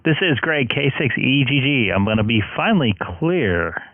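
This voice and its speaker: A hoarse man from southern California, chatting on the air with another radio operator, rambling and filler-heavy. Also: medium pitch, sing-song, moderate pace, no room sound. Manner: friendly, rambling, many filler sounds. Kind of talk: casual on-air radio chat. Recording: phone-like radio transmission.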